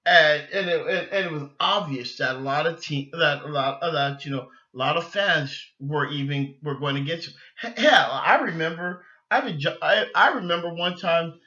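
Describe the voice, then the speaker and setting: A man's voice: fairly high pitch, moderate pace, few pauses, sharp tone, dull audio. A man hosting a sports podcast, giving casual, opinionated fan talk in a rambling way, often restarting and repeating his phrases.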